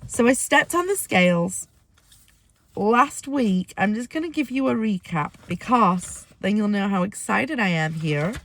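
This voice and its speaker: Woman vlogger, under the weather with a squeaky voice, casual and chatty, bursts of excitement. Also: a little slow. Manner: chatty, excitable, rambling asides. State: ill, squeaky voice. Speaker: weight-loss vlogger. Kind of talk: casual vlog to camera.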